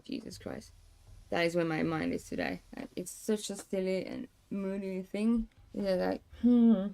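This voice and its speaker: A young woman, hoarse today, chatting in a rambling, casual way with many fillers and restarts. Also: medium pitch, lively intonation, a little slow, mellow. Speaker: streamer. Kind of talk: casual chat.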